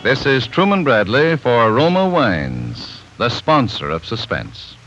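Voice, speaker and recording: Old-time radio ad guy, lively sing-song delivery, muffled broadcast sound. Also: slow pace, over music. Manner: smooth, persuasive pitchman. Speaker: sponsor announcer. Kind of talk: scripted 1940s radio commercial.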